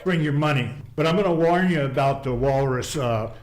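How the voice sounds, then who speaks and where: An actor playing a blunt antique shop owner who speaks haltingly and deadpan in a mockumentary interview. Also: male voice, on the high side, close to an everyday voice. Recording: echoey indoor room.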